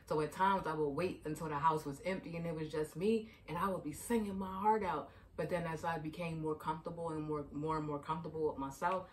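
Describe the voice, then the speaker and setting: A woman's voice: fairly low pitch, distant mic, a little hiss or hum. A young adult woman from Baltimore, a life coach chatting casually and telling stories about healing your inner child. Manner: chatty, storytelling, frequent "you know what I mean". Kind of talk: casual advice vlog.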